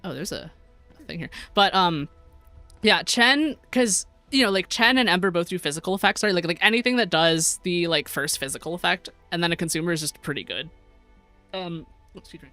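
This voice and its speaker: A woman's voice: on the low side, a little fast, bright, forceful tone, dry recording, soft background music. A young American woman chatting casually while streaming a game, reading chat aloud, giggling often and rambling through her sentences. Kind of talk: casual gameplay livestream chat.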